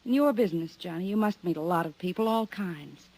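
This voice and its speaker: An actress playing a weary, guarded woman stranded abroad in a 1950s radio drama, speaking softly and pleadingly. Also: lowish voice, expressive, a little fast, poor audio. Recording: old radio broadcast recording.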